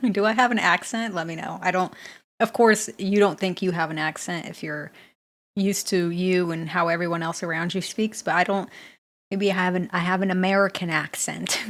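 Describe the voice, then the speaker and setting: A woman's voice: lowish voice, fairly even intonation, normal speed. Chatty woman hosting a reaction video. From Bay Area, California. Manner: chatty, laughs often, talks back to the clip. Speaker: reaction channel host. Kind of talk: casual reaction commentary.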